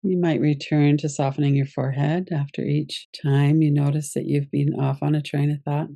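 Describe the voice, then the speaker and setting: A woman guiding a yoga nidra meditation as its teacher, giving gentle, unhurried instructions with pauses. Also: low-pitched, little pitch movement, vocal fry, no echo.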